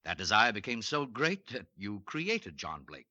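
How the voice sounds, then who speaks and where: An actor playing a calm, questioning psychiatrist in a 1940s radio drama, speaking in a measured, lecturing way. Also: male voice, on the low side, no echo, full character voice. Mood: probing. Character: calm, probing male psychiatrist, middle-aged. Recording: dull lo-fi vintage radio recording.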